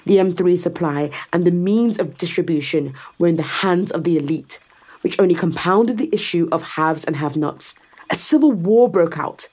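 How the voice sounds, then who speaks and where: A woman's voice: lowish voice, moderate pace, darkish tone, lightly acted. A woman playing a powerful, calculating executive in a science fiction audio drama, sardonic and controlled as she persuades and explains. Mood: confiding, explaining.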